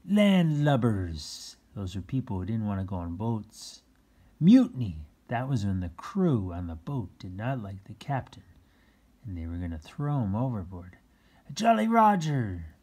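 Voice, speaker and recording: A children's read-aloud host with a husky voice, reading a picture book and adding playful exclamations and explanations for young listeners. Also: low-pitched, lively intonation, normal speed, mellow. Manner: exclaims, gasps, chuckles and explains words to children.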